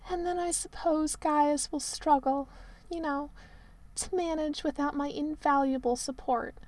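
A woman's voice: high voice, steady tone, unhurried, a little mellow, no echo, pitched above the performer's own voice. A woman doing a melodramatic young manservant. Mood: mournful. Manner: mournful, overly dramatic whining. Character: young male servant, melodramatic and self-pitying.

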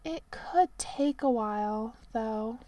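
A woman's voice: high voice, unhurried, no echo, voice pushed up. A woman voicing a melodramatic, self-pitying young male servant, whining mournfully. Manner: mournful, overly dramatic whining.

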